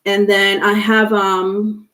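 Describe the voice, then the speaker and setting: A woman's voice: medium pitch, completely flat delivery, takes it slowly, fairly bright tone, slight background noise, poor audio. A woman with a stuffy nose doing a casual live tarot stream, chatting with viewers and full of fillers, without a microphone. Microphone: no microphone, device mic.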